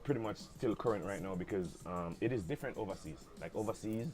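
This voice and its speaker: A middle-aged Jamaican dancehall artist chatting in an interview, mixing patois with American slang. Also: male voice, fairly low pitch, expressive, fast, darkish tone, faint music behind. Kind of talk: casual interview chat.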